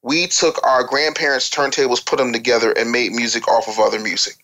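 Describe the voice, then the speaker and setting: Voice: medium pitch, little pitch movement, brisk, a bit bright. A panelist in an opinionated music-industry discussion, speaking emphatically like a lecturer and throwing out rhetorical questions. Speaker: talk show panelist.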